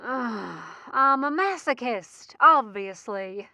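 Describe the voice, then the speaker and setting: High, bright flippant young woman. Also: expressive, takes it slowly, dry recording, heavily acted. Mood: groggy, flippant. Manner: teasing, breezy, uses pet names. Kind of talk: audio drama acting.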